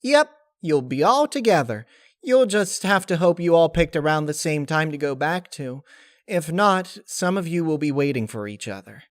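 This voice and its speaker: A man's voice: a little high, animated, normal speed, dry recording, clean studio sound, pitched above the performer's own voice, natural voice. A man voicing a bubbly, carefree young female pony spirit in a story reading, with perky, gushing delivery. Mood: breezy, reassuring. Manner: perky, gushing, childlike phrasing.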